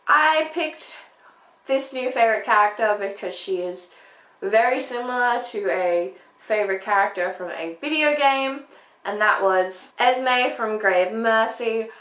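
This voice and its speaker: A woman's voice: a little high, expressive, a little slow, a bit bright. A woman book vlogger chatting casually to camera, emphatic, often repeating words to make her point. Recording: echoey room.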